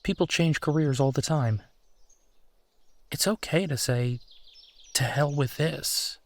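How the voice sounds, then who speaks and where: Reassuring, affectionate husband, played by a man. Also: a little high. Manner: reassuring, conversational, unhurried pauses. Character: supportive, affectionate husband comforting his partner. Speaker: audio roleplay performer. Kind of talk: intimate comfort roleplay, one side of a conversation.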